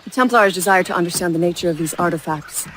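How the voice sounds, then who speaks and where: A woman's voice: lowish voice, fast talker, a bit bright, slight background noise, lightly acted. A woman playing a composed, well-informed colonial-era Assassin in a video game, assured and informative. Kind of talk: dramatic video game cutscene acting.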